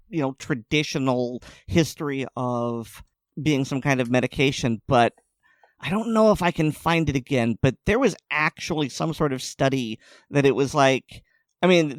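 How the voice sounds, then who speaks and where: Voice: mid-range voice, no room sound, studio quality. A podcast co-host chatting casually, rambling and restarting sentences, laughing often. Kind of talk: casual chat.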